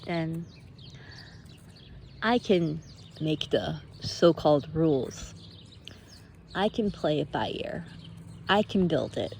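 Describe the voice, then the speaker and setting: A woman giving an unscripted, reflective monologue while out walking in nature, thinking aloud in halting, drawn-out phrases. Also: fairly low pitch, vocal fry, darkish tone, slight background noise. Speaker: host of a personal walking monologue. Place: outdoors on a walk in nature.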